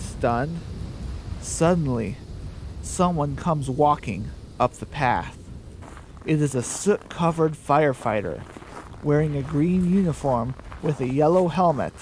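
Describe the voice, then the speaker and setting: A man's voice: mid-range voice, a little mellow, slight background noise, low-quality recording. A man narrating a scripted radio play as its storyteller.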